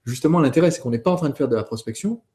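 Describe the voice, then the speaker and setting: A man's voice: fairly high pitch, expressive, rapid-fire, darkish tone. A man hosting a business training course, explaining and asking questions in a conversational way as he thinks aloud. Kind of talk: conversational teaching, interviewing a colleague.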